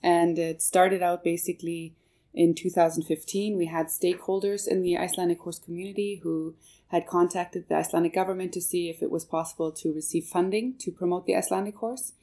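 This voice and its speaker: A woman who grew up in Canada and whose first language is German, speaking low with a gravelly fry. Her tone is dark and mellow. She answers at length and hesitantly, with many fillers and restarts. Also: steady tone, brisk. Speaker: guest, manager of a marketing project. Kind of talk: interview answers.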